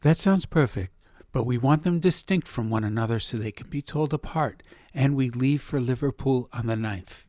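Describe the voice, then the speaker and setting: A man acting an earnest, higher-voiced quest hero. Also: moderate pace, darkish tone, no echo, natural voice. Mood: pleased, businesslike. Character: earnest, polite male adventurer on a quest. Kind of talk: fantasy audiobook character voice.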